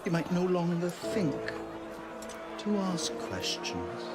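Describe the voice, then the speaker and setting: Flamboyant, smug, high-voiced aristocrat. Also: male voice, very animated, slow pace, over loud music, a loud crowd, exaggerated voice. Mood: smug, teasing. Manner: pompous, teasing, theatrical. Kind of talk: video game cutscene acting.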